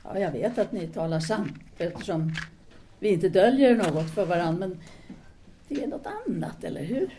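Woman playing a mellow, composed intellectual lover. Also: fairly low pitch, lively intonation, a little hiss or hum, lightly acted. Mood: probing, restrained. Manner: formal, restrained, questioning. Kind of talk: audio drama dialogue.

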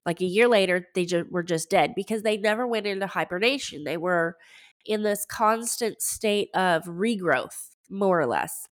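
A woman's voice: mid-range voice, moderate pace. A woman in her thirties hosting a solo podcast, chatty and rambling, her voice stuffy from a head cold. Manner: chatty and rambling, with many fillers and restarts. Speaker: podcast host. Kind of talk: casual solo podcast talk.

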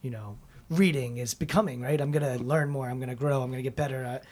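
A man's voice: mid-range voice, fast talker, a little mellow. A man hosting an interview, asking curious, probing questions in a reflective, conversational way. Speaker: interview host.

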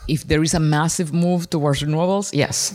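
A man's voice: fairly high pitch, steady tone, brisk, darkish tone. A man answering as a guest author, explaining at length and agreeing readily. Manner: explanatory, agreeable, some fillers. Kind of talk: casual academic interview.

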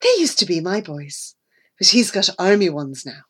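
A woman's voice: animated, normal speed, slightly rough. A woman reading aloud and voicing a kindly foster auntie who whispers, fusses and exclaims. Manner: hushed at times, then shrill exclaiming.

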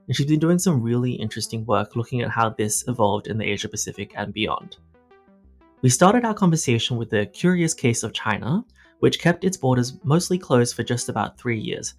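A man with an Australian accent speaking as a podcast host, presenting and interviewing on a policy show. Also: medium pitch. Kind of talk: scripted podcast introduction and interview.

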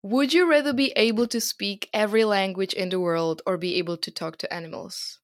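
A woman of middle pitch interviews a guest. She asks brief, friendly questions in a casual way. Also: brisk.

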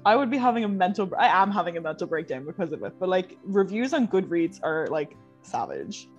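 A woman's voice: fairly low pitch, big pitch swings, a little fast. A young woman co-hosting a podcast in casual chat, teasing her co-host and laughing often. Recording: dull, slightly lo-fi sound with faint music.

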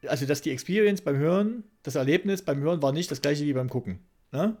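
A man around his forties co-hosting a casual podcast, halting and repeating himself, often tagging on little questions. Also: a little high, darkish tone. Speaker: podcast co-host.

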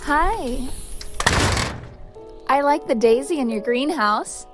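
A woman's voice: high voice, expressive, slow pace, faint music behind. A woman playing a stubborn, desperate young female survivor in an audio drama. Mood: chirpy, breezy.

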